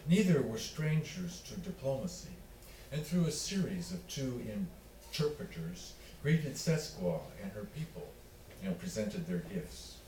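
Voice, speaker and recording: Male reader for literary fiction: relaxed, mellow timbre, measured phrasing, recorded at a distance in a reverberant room. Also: mid-range voice. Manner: measured, deliberate phrasing with pauses. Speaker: author reading own work. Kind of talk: literary reading of narrative fiction. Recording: roomy, distant miking with faint noise.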